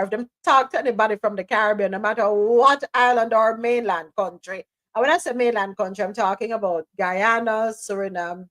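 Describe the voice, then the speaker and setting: A woman's voice: mid-range voice, dry recording. A woman with a Jamaican accent hosting a live radio chat show, reading articles aloud and laughing as she slips into patois. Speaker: host.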